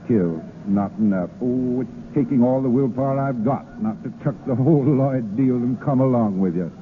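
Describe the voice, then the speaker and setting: A man's voice: mid-range voice, normal speed, a little hiss or hum, close to an everyday voice. Man acting ardent middle-aged boss, tinny old radio. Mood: yearning. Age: 40s. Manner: earnest, ardent. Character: lovestruck, commanding 46-year-old male shipping boss. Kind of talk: 1930s radio drama acting.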